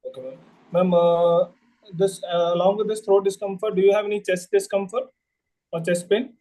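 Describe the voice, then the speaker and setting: High, mellow, polite young-sounding male doctor. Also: steady tone, moderate pace. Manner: polite, hesitant, formal, often says ma'am. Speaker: doctor practising a patient consultation. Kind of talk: role-played clinical history taking.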